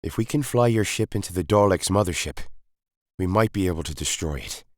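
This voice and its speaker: A man's voice: sits low, expressive, brisk, no room sound, clean studio sound, voice pushed up. A man voicing a grave, emphatic Time Lord hero in an audio story, urgent and commanding. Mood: urgent, resolute.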